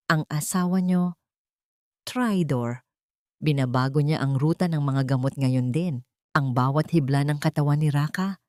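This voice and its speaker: A woman's voice: low-pitched, a little mellow, no room sound, studio quality. A woman narrating a scripted dramatic story in a solemn, theatrical way. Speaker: audiobook narrator.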